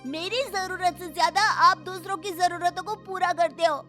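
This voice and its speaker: A woman's voice: very high voice, fairly even intonation, moderate pace, bright, forceful tone, faint music behind. A woman voicing a sulky, demanding, whiny schoolboy in a cartoon story. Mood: angry.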